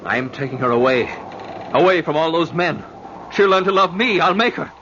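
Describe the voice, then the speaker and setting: An actor playing a tormented, possessive painter in old-time radio horror style, intense and halting, on an old recording. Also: male voice, a little high, bright, forceful tone. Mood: desperate obsession. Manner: intense, halting and repetitive, flaring into angry commands. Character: tormented obsessive painter, possessive, secretive, volatile. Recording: noisy, dull vintage radio recording.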